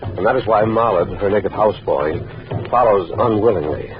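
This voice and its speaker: A man giving ominous, measured narration for an old radio mystery. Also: lowish voice. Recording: muffled old radio recording, loud music.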